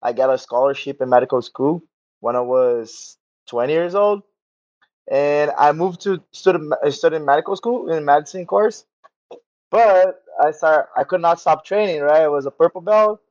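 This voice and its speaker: A man in his twenties from Brazil with a Portuguese accent, a podcast guest who is sick with a sore throat, speaking in halting English with fillers. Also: fairly high pitch, a little mellow, lo-fi sound. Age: 20s. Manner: halting non-native English with filler words. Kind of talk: casual interview chat.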